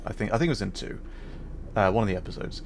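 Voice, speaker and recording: A man's voice: lowish voice, quick pace, a little hiss or hum. A man livestreaming a video game, giving casual commentary and explaining things in a hesitant, thoughtful way.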